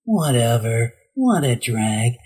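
A weary male ninja strategist from a cartoon series, now a serious adult adviser, as a man drops his voice to do him. The voice sits far lower than a high tenor and is scraped with gravel and husk. Every line comes out in a flat, bored, deadpan drawl. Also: slow pace, full character voice.

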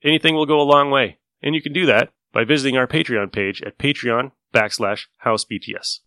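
Man, podcast host, friendly, informal, bright tone, dry close sound. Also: mid-range voice, a little fast, clean tone, dry recording. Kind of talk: casual podcast intro.

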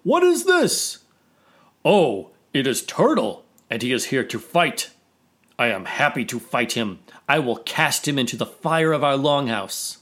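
A man's voice: mid-range voice, expressive, measured pace, fairly bright tone. A man voicing a bold male villager, a father, who mocks and challenges his foe in a told folk tale. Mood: eager to fight. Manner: mocking, challenging. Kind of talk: storytelling.

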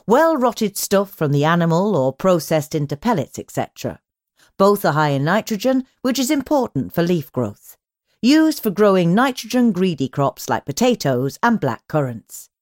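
Lively low-pitched woman narrator. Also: no echo, clean studio sound. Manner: measured, instructional, clearly phrased reading. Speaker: magazine audio edition narrator. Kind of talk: scripted narration, read magazine articles.

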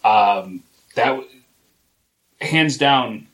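A man's voice: medium pitch, expressive, very slow, a bit bright. A man chatting casually on a podcast, enthusiastic and full of fillers and restarts. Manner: enthusiastic, hesitant, many fillers. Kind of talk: casual chat.

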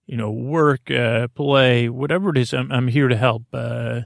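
Creaky-voiced man, soothing and rambling. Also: medium pitch, steady tone, no echo, studio quality. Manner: meandering, halting, trailing into tangents; soothing, gently lulling. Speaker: podcast host. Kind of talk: rambling sleep-aid podcast monologue.

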